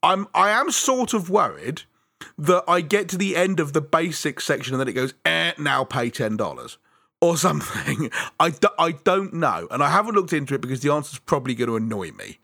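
A man with a bright, lively voice that swings up and down as he chats, stumbling over restarts and laughing at himself. He sits close to a dry mic. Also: a little high, gravelly, no room sound. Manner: chatty, self-deprecating, laughs often, halting restarts. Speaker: podcast co-host. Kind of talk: casual chat.

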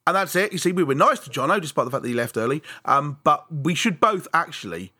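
A man with a bright, lively voice that swings up and down as he chats, stumbling over restarts and laughing at himself. He sits close to a dry mic. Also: a little high, breathy edge, dry recording. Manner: chatty, self-deprecating, laughs often, halting restarts. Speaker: podcast co-host. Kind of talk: casual chat.

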